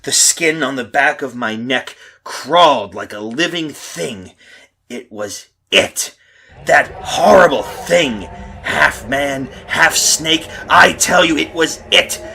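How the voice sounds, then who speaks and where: A man acting as a desperate, haunted survivor in an old-time radio horror play. His voice is bright and forceful with a husky, rough grain. He tells his nightmare with melodramatic intensity and emphatic repetition. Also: on the high side, lively intonation, slow pace, heavily acted. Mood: terrified recounting. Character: terrified husband and father stranded in prehistoric times, haunted narrator. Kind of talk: retro 1930s radio horror drama acting.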